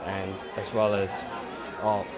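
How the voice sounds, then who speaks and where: A man's voice: fairly low pitch, completely flat delivery, takes it slowly. Blunt, earnest man from Cuba. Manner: blunt, short, earnest answers. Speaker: painter and photographer. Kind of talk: interview answers. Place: outdoors at a busy art event. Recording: crowd chatter and music behind.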